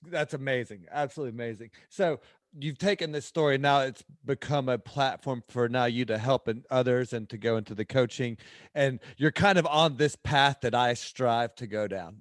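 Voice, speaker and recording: A man's voice: mid-range voice, no room sound. Enthusiastic male podcast host, dry sound. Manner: enthusiastic, effusive reactions. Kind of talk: interview questions and reactions.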